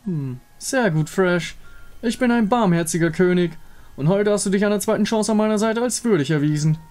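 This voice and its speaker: Ein Mann spricht einen hochmütigen, bedrohlichen untoten König in einem Fantasyspiel, herrisch und pathetisch. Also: male voice, sits high, expressive, a little fast, a little mellow, faint music behind, pitched above the performer's own voice. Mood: imperious. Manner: grandiose, imperious. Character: haughty menacing undead king, grandiose and lovesick.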